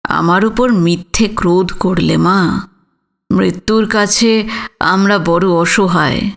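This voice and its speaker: An elderly village physician played by a woman in an audio story, speaking in a lowered voice. He is grave and gentle, pausing heavily between short phrases at a very slow pace, with sorrow and tenderness beneath his words. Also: gravelly. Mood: grave, sorrowful. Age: older.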